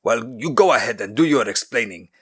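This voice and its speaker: A man voicing an angry ancient king who speaks in a forceful, accusing way. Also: medium pitch, lively intonation, a little fast, breathy edge. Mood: stern.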